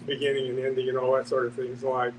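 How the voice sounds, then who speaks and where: A man thinking aloud in a halting, reflective way during a casual discussion. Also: mid-range voice, completely flat delivery. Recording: distant mic, noisy humming room.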